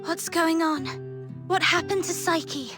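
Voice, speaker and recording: A woman's voice: very high-pitched, slow pace, loud music behind the voice, exaggerated voice. A woman voicing an earnest, determined and protective young heroine in a video game. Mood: gentle, concerned.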